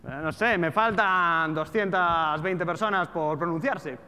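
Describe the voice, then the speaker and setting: A man's voice: fairly high pitch, measured pace, sharp tone. A man in his thirties with a European Spanish accent, giving an informal, colloquial talk to a live audience, full of rhetorical questions. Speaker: speaker, trader and trading educator. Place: large auditorium stage with seated audience. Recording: echoey hall with background noise.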